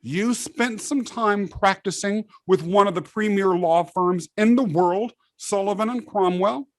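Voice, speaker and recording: A man from England hosting a podcast, chatting with a guest in a lively, joking way and laughing easily. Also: sits high, measured pace, no room sound. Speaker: podcast host. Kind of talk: casual interview chat.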